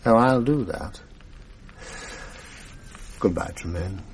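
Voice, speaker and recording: An actor playing a genial, chatty retired schoolmaster, older, in a 1980s radio drama. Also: male voice, deep voice, animated, takes it slowly, creaky, slight background noise, full character voice, rough recording. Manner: genial, chatty, old-fashioned.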